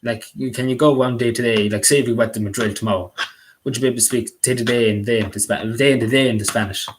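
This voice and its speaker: A young man with a Derry accent from Northern Ireland, chatting casually on a live stream, rambling and apologetic with many halting restarts. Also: mid-range voice, fairly even intonation, noisy background. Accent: Derry, Northern Irish. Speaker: livestreamer.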